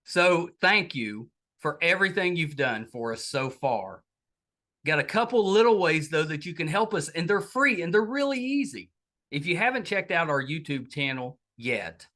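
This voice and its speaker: A man's voice: a little high, sharp tone. A middle-aged American man speaking as a podcast host, casually and earnestly thanking and appealing to his audience in a chatty way. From United States.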